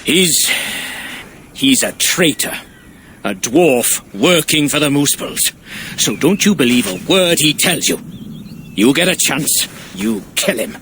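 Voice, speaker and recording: A man's voice: a little high, expressive, slow, a bit bright, a little hiss or hum. A man voicing a cheeky, plain-spoken dwarf in a video game, chatty and wry with colloquial cursing. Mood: bitter, wary. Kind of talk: cinematic video game acting.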